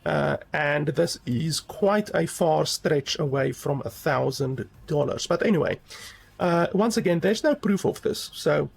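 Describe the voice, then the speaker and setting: A man's voice: fairly high pitch, unhurried, vocal fry, husky. A man giving a rambling, casual game review, with many fillers and emphatic repeats.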